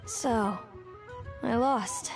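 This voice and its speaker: An actress playing a composed female rival trainer in a video game, speaking in a calm, measured way. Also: a little high. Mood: gracious. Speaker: defeated rival trainer, runner-up. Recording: game audio with music underneath.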